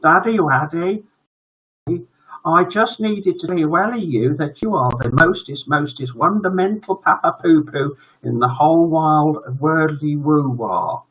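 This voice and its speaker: Man putting on a sickly-sweet spoilt little boy, high-pitched. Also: unhurried, lo-fi sound, lightly acted. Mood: gushing, adoring. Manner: sickly-sweet baby talk. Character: spoilt gushing little boy, teacher's pet, baby-talking. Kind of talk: children's storybook character voice.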